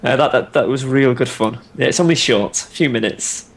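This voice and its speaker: A man's voice: medium pitch, a little fast, vocal fry, breathy edge. A man, talking casually and easily as a podcast guest. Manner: relaxed, easygoing, hesitant fillers. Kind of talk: casual chat.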